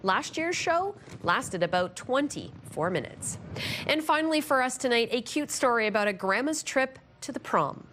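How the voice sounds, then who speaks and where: A woman anchors a newscast with scripted narration. Her voice sits fairly high, with a faint layer of noise behind it. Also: lively intonation, moderate pace, fairly bright tone. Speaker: news anchor.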